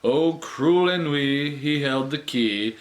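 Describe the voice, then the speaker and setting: A man giving an expressive, rhythmic and playful theatrical reading of comic verse. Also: on the high side, takes it slowly, rough recording. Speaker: reader of comic poetry on own channel.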